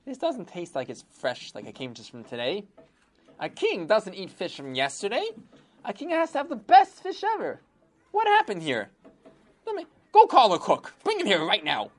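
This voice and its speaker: A woman voicing an angry, demanding male king in a storybook tale, shouting his demands. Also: low-pitched, wildly swooping pitch, moderate pace, full character voice. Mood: indignant.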